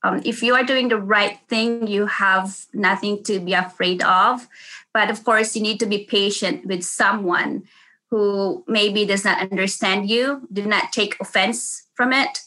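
Woman, Filipino accent, nurse, bright voice, earnest, restarts sentences. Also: mid-range voice, steady tone, a little slow, poor audio. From Philippines. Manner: earnest, with frequent restarts and laughs. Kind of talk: casual interview.

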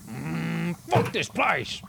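An actor playing a scruffy, foul-mouthed chancer with a brazen drawl in a comedy audio drama. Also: male voice, fairly high pitch, big pitch swings, drawn-out words, creaky, heavily acted. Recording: noisy background ambience.

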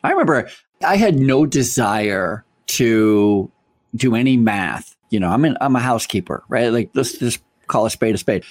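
A man's voice: mid-range voice, moderate pace. Emphatic male podcast host. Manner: energetic, emphatic, repeats phrases. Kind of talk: conversational interview.

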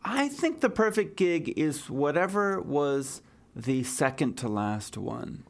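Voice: mid-range voice, animated, takes it slowly. Dry-joking band member guest. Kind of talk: casual interview chat.

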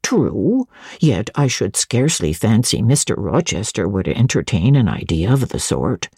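Woman acting elderly housekeeper, genteel, mellow, very deep for a woman, measured, admiring, storybook gossip. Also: very animated, breathy edge, no room sound, clean studio sound, heavily acted. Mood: confiding, sceptical. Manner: measured, reminiscing, savouring details. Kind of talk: dramatic audiobook reading of classic literature.

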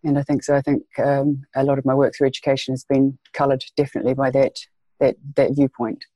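A woman's voice: extremely low pitch, little pitch movement, fast talker, warm tone, no room sound. A woman from New Zealand with a New Zealand accent, speaking earnestly as a podcast guest and repeating phrases for emphasis. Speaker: guest, education leader. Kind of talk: podcast interview.

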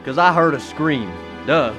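A man's voice: on the high side, expressive, a little slow. Man playing a brash 1930s businessman, music behind. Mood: defensive. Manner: slangy, brash, defensive. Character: brash, fierce, defensive male 1930s businessman with a pistol. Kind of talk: old-time radio drama acting.

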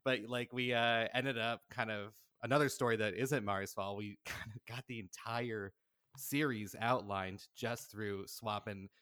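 A man's voice: lowish voice, fairly even intonation, a little slow, bright, forceful tone, clean tone, dry recording, clean studio sound. A man speaking casually as a podcast guest, explaining creative work in a conversational way. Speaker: podcast guest, audio drama writer-director. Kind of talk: casual conversational interview answers.

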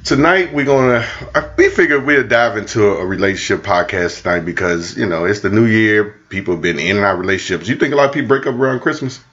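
A man's voice: fairly low pitch, fast, few pauses. Laid-back man in his forties, lo-fi. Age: 40s. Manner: relaxed, joking, sings snatches of songs. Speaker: podcast co-host. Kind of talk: casual chat.

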